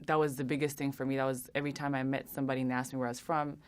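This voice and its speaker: A young woman answering interview questions earnestly, with many pauses and restarts. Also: rumbling low, flat delivery, a little hiss or hum. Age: young adult. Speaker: interview guest.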